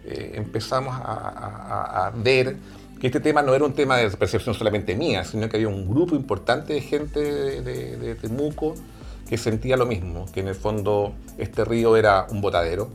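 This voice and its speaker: A man, a guest on a talk show, speaking in a thoughtful, measured way with drawn-out pauses. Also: medium pitch, vocal fry, soft background music. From Chile. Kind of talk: radio talk show conversation.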